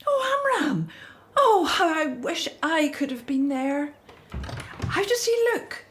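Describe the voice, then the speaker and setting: Older mum, tender and anxious, slow, highish voice swooping about, radio drama. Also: female voice, sing-song, fairly bright tone, slight background noise, full character voice. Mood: excited, longing. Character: tender, anxious older Hebrew wife and mother.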